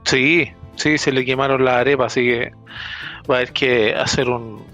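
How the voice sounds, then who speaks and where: A man with a Chilean accent, a podcast panelist who explains international news in a relaxed way and often restarts his phrases. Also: mid-range voice, normal speed, lo-fi sound, faint music behind. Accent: Chilean Spanish. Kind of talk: casual podcast news roundup.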